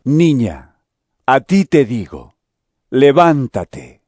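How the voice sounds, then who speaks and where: A man playing an authoritative teacher who gives solemn, measured commands in a scripture audio drama. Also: medium pitch, sing-song, a little mellow, dry recording, studio quality, full character voice. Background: music.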